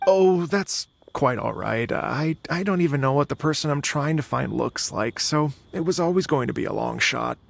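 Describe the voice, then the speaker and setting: An actor voicing a gentle, earnest middle-aged male musician in a video game, polite and humble. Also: medium pitch, animated, moderate pace, vocal fry, hardly any pauses.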